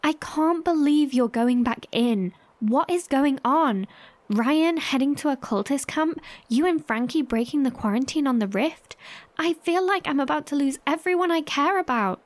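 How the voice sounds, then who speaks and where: A woman's voice: high-pitched, animated, moderate pace, no room sound. A woman playing a caring, anxious survivors' broadcaster in an audio horror drama. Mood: distressed. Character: Jess, caring, anxious female bunker broadcaster.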